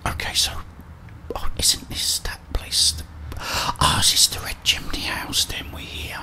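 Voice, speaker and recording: A man's voice: a little slow, sharp tone. A middle-aged British man whispering ASMR game commentary in short murmured reactions, close to the microphone. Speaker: gaming streamer.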